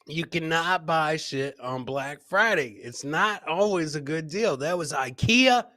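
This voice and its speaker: Bright, high-voiced middle-aged man hosting. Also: animated, a little slow. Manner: chummy, slangy, repeats phrases for emphasis. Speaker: comedian and live podcast host. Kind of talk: casual livestream banter reacting to clips and viewer comments. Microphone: close podcast mic on a boom, with headset.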